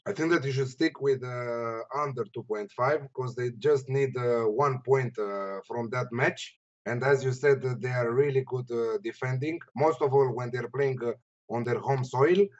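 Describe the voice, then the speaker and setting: A man with a Romanian accent giving casual sports betting analysis in a halting, hesitant way. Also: mid-range voice, steady tone, lo-fi sound. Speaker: betting tipster and panelist.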